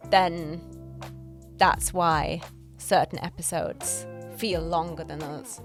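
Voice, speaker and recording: A woman's voice: low voice, fairly even intonation, takes it slowly, soft background music. A woman co-hosting a casual podcast as a producer, explaining and clarifying points for the listeners. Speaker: podcast co-host, executive producer.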